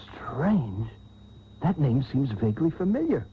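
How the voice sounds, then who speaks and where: An actor playing a vain, self-pitying rich heir held captive, declaiming melodramatically in a parody radio soap. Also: male voice, mid-range voice, sing-song, a little slow. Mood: wondering, intent. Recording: tinny, hissy taped radio broadcast.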